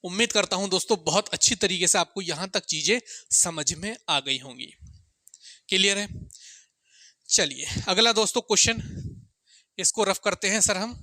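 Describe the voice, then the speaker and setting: Sharp, high-pitched male maths teacher. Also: animated, normal speed, long pauses, no room sound. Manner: asks questions and answers them himself, repeats key points; addresses listeners often as sir and friends. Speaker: maths teacher for exam coaching. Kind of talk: informal classroom-style lesson, talking to students.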